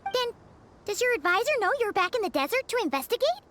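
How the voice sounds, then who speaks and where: A woman voicing a small, childlike fairy companion in a video game, squeaky, chatty, excitable and easily nervous. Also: fast talker, exaggerated voice. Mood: curious. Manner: refers to self in third person, exclaiming. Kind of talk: video game character dialogue.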